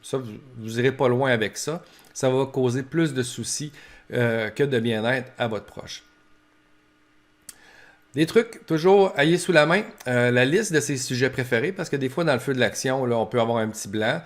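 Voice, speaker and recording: A man's voice: mid-range voice, normal speed. A man with a Québécois French accent giving an informal instructional talk as a professor, explaining in colloquial, practical language. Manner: explanatory, colloquial, acts out short examples. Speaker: professor giving advice to family caregivers.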